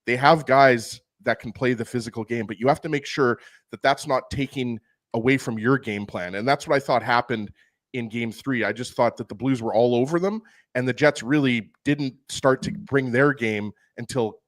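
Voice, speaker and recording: A man's voice: medium pitch, moderate pace. A man co-hosting a sports talk show, giving passionate and opinionated analysis.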